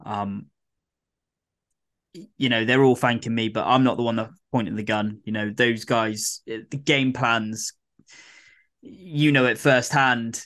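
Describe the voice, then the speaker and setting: Brief: male podcast co-host, croaky, chatty and rambling, mid-pitched. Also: steady tone, unhurried, long pauses, fairly bright tone. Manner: rambling, many fillers and restarts. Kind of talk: casual podcast chat.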